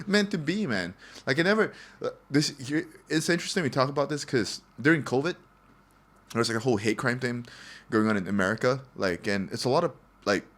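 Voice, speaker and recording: A man's voice: medium pitch, lively intonation, fairly quick, a bit bright. A man from Singapore hosting a casual podcast chat, joking and cursing freely with plenty of fillers. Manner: loose, joking, profane, lots of fillers. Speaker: podcast host.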